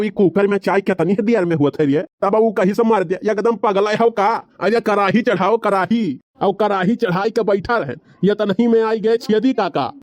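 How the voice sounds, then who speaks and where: A man's voice: high-pitched, no room sound, close to an everyday voice. A man playing a rambling Awadhi village husband, dry sound. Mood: grumbling storytelling. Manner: rambling, bantering, colloquial. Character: excuse-making, argumentative village husband and father. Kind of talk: cartoon comedy dialogue.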